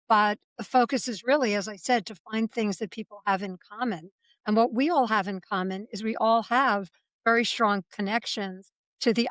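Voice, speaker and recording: A woman's voice: medium pitch, no room sound. A woman hosting a podcast panel who leads the talk in a conversational way, asking each guest in turn. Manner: warm-up questions, conversational. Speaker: podcast host.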